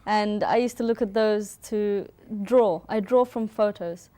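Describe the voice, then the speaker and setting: A woman's voice: mid-range voice, measured pace, warm tone. A woman from Sri Lanka answering as an interview guest in a casual, informal way, sometimes searching for words and repeating short phrases. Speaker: interview guest, artist and photographer.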